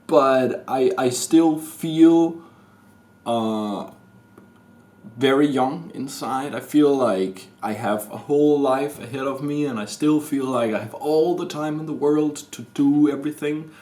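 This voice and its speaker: Man, 30s, Danish accent, Danish native speaker, slow halting speech, stammering restarts, personal vlog to camera. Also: medium pitch, darkish tone. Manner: hesitant, halting, many restarts and fillers. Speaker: vlogger. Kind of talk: rambling personal confession to camera. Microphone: camera mic.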